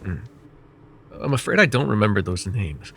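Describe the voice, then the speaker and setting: A man voicing a vain, wry, middle-aged male mage who counsels a student in an ASMR roleplay, speaking in a calm, measured and gently teasing way. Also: on the low side, creaky, slight background noise. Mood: calm reassuring. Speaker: voice actor.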